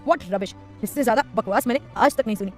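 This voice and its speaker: A woman plays a grumpy young husband in a romantic audio story. The lines are curt, disbelieving and exasperated, delivered quickly with music faintly underneath. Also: a little high, lightly acted. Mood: scoffing.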